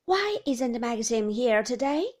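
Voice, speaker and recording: A woman's voice: fairly high pitch, big pitch swings, slow pace, darkish tone, full character voice. A woman voicing a querulous frail old lady. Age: older. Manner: querulous, grumbling.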